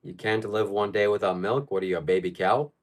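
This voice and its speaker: A man's voice: fairly low pitch, fairly even intonation, brisk. A man from Ukraine hosting a live stream, answering viewer questions in casual, blunt and opinionated chat.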